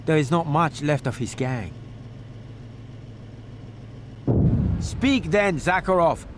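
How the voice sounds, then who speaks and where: An actor playing a menacing, imperious gang boss with a sneering manner in a video game, over loud noise. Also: male voice, on the high side, expressive, moderate pace, lightly acted. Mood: wary.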